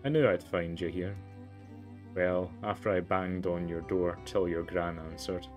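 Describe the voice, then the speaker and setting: A man's voice: low voice, fairly even intonation, a little slow, darkish tone, soft background music. A man voicing a chatty, tactless young tiger boy in a storybook game. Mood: chatty, awkward.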